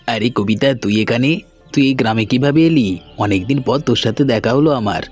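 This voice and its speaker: A man's voice: medium pitch, normal speed, natural voice. A man playing a friendly young businessman in a cartoon, talking in a casual, conversational way. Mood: surprised. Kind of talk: cartoon dialogue acting. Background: background music.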